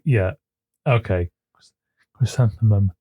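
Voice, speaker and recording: A man co-hosting a podcast in casual chat, with a smooth voice. He chuckles often and repeats words as he gets going, speaking into a desk microphone at his home computer. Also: on the low side, takes it slowly, narrow, tinny audio. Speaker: podcast co-host.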